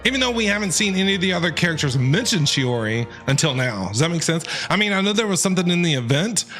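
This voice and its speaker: Male streamer, forceful bright tone, casual, enthusiastic, game reaction, soft background music. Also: high voice, sing-song, quick pace. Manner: enthusiastic, repetitive exclamations. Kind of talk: casual live game commentary.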